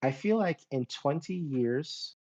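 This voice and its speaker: A man's voice: a little high, lively intonation, slow pace, a little mellow, dull audio. A man in casual podcast chat, making points emphatically and repeating phrases as a panelist.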